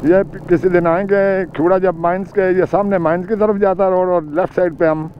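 A man's voice: high-pitched, fairly even intonation, brisk. An older man with a Pakistani Urdu accent, riding a motorcycle on mountain roads and chatting as a guide, pointing out sights and reciting short prayers. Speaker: moto vlogger. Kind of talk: casual travel commentary while riding. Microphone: helmet or bike-mounted camera mic. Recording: muffled camera audio with clear engine and wind noise.

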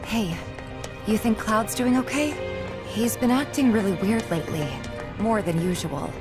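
A woman playing a gentle, caring young heroine in a video game, earnest and conversational. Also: medium pitch, measured pace, lightly acted. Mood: concerned. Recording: echoey, game music behind.

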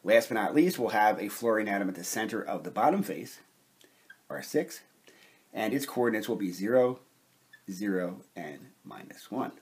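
A man with a slightly husky voice giving a measured, methodical lecture, explaining step by step as an instructor. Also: fairly low pitch, expressive. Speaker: chemistry instructor.

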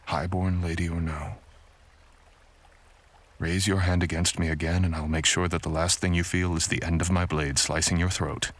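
A man's voice: sits low, little pitch movement, moderate pace. A young man playing a dominant, menacing samurai ronin in a roleplay audio, speaking coldly and mockingly, sometimes in a whisper. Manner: cold, threatening, mocking, partly whispered. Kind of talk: boyfriend ASMR roleplay.

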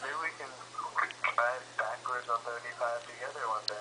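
A man's voice: mid-range voice, steady tone, normal speed, bright, forceful tone. A young man chatting casually and briefly, playing along, heard through a phone speaker. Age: young adult. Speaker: prank call recipient. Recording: noisy phone speaker picked up by camera.

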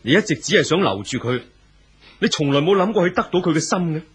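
An actor playing a frank, troubled lover in a 1970s radio drama, firm and reasoning, with a Hong Kong Cantonese accent. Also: male voice, fairly high pitch, expressive, quick pace, a bit bright, husky, exaggerated voice. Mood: challenging. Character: frank, troubled lover confronting a married man.

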